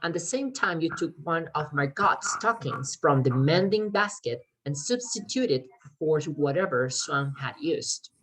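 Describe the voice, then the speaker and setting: A woman performing in a readers theater as a crime writer, earnest and persuasive, speaking haltingly while pitching a scheme to save a friend. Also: expressive, a little hiss or hum, rough recording. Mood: insistent.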